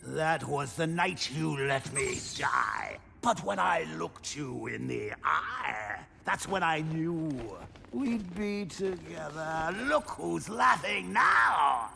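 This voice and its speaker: Manic clown villain from a game, gleeful n taunting, drawn out, swoops all over. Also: male voice, on the high side, very animated, slow, gravelly, pushed and bright, a little hiss or hum, exaggerated voice. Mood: gleeful singing.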